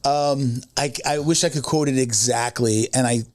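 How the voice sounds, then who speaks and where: A man's voice: medium pitch, fairly even intonation, moderate pace, no room sound. Rambling man in his fifties. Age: 50s. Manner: rambling, full of fillers and restarts. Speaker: podcast co-host. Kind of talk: casual chat.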